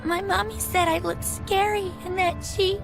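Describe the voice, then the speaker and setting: A very high-pitched, childlike voice for a timid little girl in a video game. She sounds small and lonely, with music playing clearly behind her. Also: steady tone, a little slow, exaggerated voice. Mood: timid, sad. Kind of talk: English-dubbed video game acting.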